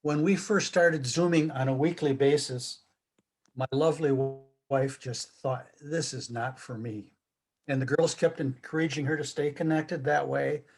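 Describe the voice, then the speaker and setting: Man, late seventies, husky, higher pitch, casual reminiscing. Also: fairly even intonation, moderate pace, darkish tone. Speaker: interviewee.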